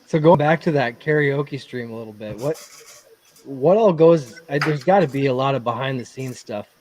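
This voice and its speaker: A man's voice: mid-range voice, normal speed, darkish tone, rough recording. A man co-hosting a casual chat, asking curious, loose questions.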